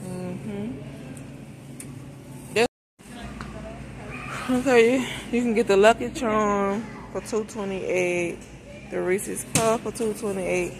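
Female shopping vlogger, mid-pitched and creaky, folksy off-the-cuff chat, noisy supermarket phone recording. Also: steady tone, takes it slowly, pauses often. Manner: folksy, informal, thinking aloud. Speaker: vlogger narrating a shopping trip. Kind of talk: casual chat to camera. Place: inside a large supermarket, walking. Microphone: handheld phone behind the camera. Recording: noisy store background.

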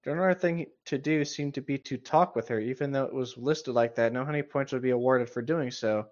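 A man's voice: mid-range voice, brisk, darkish tone, muffled recording. A man co-hosting a casual read-aloud of a story, with sarcastic, mocking and profane commentary. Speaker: co-host reading a story aloud with running commentary.

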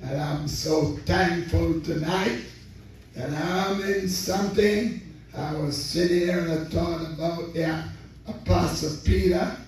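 A slow, measured man with a high voice preaches fervently, repeating his lines and drawing answers from the congregation. He sounds distant from the microphone in a booming, echoing sanctuary. Faint noise sits under the recording. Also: darkish tone. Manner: fervent preaching with repeated phrases and call-and-response. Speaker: assistant pastor preaching. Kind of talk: sermon. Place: large church sanctuary.

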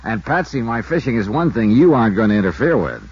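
An American actor playing a wisecracking insurance investigator with a breezy, wry, clipped delivery, in 1950s radio style. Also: male voice, medium pitch, big pitch swings, fast talker, slightly rough, muffled recording. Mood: breezy, puzzled. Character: wisecracking freelance insurance investigator, fond of fishing. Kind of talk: 1950s radio detective acting and narration. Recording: band-limited 1950s radio recording with music.

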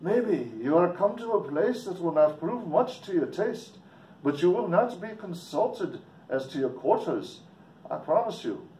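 A surly Irish townsman, acted out by a male reader, speaks in a raised, swinging pitch. He is gruff and accusing, ready to threaten any stranger, like a villager in a gothic storybook. The sound is roomy and a little far from the mic. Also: lively intonation, unhurried, mellow. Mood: surly, menacing. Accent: attempted Irish. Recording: roomy, distant mic with faint noise.

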